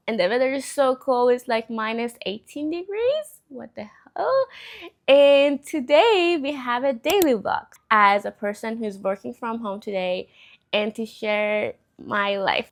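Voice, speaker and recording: A woman's voice: a little high, vocal fry, echoey room. Chatty young woman vlogging from home. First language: not English. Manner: chatty, upbeat, self-reflective. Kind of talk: casual vlog narration. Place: home apartment.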